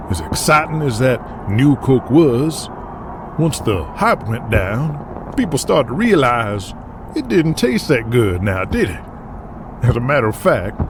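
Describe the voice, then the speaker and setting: A man's voice: mid-range voice, noisy background. A man playing a folksy, foul-mouthed older wrestling boss in an audio drama, talking colloquially with swagger. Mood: folksy showmanship. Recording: music behind the voice.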